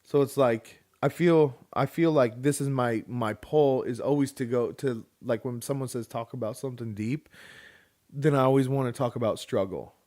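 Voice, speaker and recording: A man in his thirties co-hosting a relaxed home podcast. He rambles, circling back with fillers and restarts as he reads out listener questions. His voice sits at an ordinary middle pitch. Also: normal speed. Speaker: podcast co-host. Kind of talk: casual chat.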